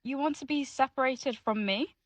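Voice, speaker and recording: Actress doing worried young british girlfriend, highish voice, pleading, secretive, radio play, really dry sound. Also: moderate pace, dry recording, lightly acted. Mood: pleading, upset. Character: young woman lover, anxious, pleading, secretive about her relationship. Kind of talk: intimate audio drama acting.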